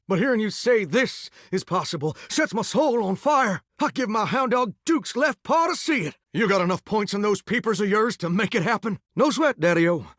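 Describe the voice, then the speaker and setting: An actor playing a shower come to life as a 1950s rock-and-roll crooner, talking in greaser slang with a drawling croon. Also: male voice, high-pitched, fairly bright tone, husky, no room sound, studio quality, full character voice. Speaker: game character. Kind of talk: video game voice acting.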